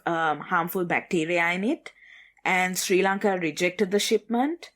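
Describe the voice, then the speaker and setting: Woman, low pitch, podcast co-host, conversational news analysis, measured, casual fillers. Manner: measured, with frequent fillers like "you know" and "kind of".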